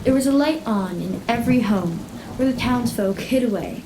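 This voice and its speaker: A woman's voice: a little high, lively intonation, normal speed, noisy background. A woman reading atmospheric, scripted story narration for a radio play. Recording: echoey room with rain sounds.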